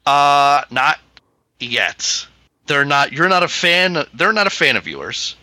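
A man running a tabletop game as game master, narrating in a laid-back, hesitant way with many pauses. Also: on the high side, animated, pushed and bright, dry recording.

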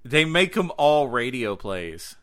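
A man hosting a podcast, chatting casually about gaming news in an excitable, slangy way, repeating words for emphasis. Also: mid-range voice, takes it slowly, crowd noise. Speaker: podcast host and game streamer.

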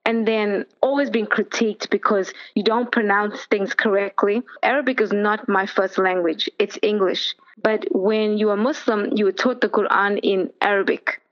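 A middle-aged woman, telling her story in an earnest, steady way as an interview guest. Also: mid-range voice, moderate pace, hardly any pauses, muffled recording. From South Africa. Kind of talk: personal testimony in an interview.

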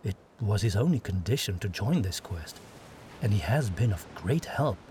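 A man's voice: fairly low pitch, lively intonation, moderate pace, warm tone, slight background noise, close to an everyday voice. A man voicing a young witch hunter who speaks earnestly and humbly.

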